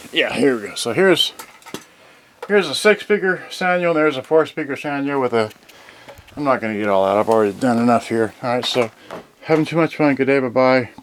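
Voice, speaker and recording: A man giving a casual, unscripted unboxing as host, rambling and thinking aloud, often trailing off mid-thought. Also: medium pitch. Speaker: host of an electronics unboxing channel. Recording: noisy home video with clicks.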